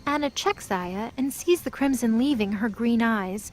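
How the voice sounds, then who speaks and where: A woman's voice: a little high, unhurried, darkish tone, faint music behind, low-quality recording. A woman reading scripted present-tense narration for an audio drama.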